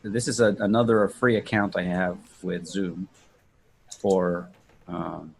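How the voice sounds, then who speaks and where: A man giving a casual software walkthrough to others on a video call. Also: deep voice, flat delivery, takes it slowly, gravelly, a little hiss or hum. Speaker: participant demonstrating software.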